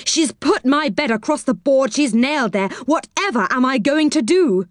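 A woman's voice: mid-range voice, a little slow, no echo, clean studio sound, natural voice. A woman voicing a hot-tempered, round-faced moon man in a storybook, exclaiming indignantly. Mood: dismayed.

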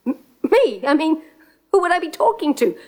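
A woman voicing a nervous young male prince who talks fast and stammers when flustered. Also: extremely high pitch, very animated, a little mellow, pitched above the performer's own voice.

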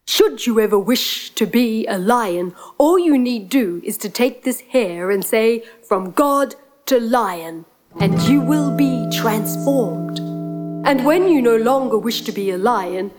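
A woman storyteller voicing a big, regal male lion who is commanding, slow and solemn. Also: fairly high pitch, expressive, darkish tone, faint music behind. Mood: solemn, grateful.

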